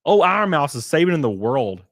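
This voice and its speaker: A man's voice: on the high side, sing-song, a little fast, fairly bright tone, dry recording, studio quality. A man hosting a stream, giving casual, rambling commentary in blunt, slangy language. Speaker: streamer, host of a reaction stream.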